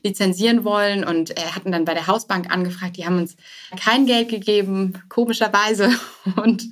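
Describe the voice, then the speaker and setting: A woman's voice: mid-range voice, steady tone, moderate pace. A woman answering as a podcast guest, explaining informally and often rephrasing herself as she goes. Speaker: guest, startup co-founder. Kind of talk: conversational podcast interview answers.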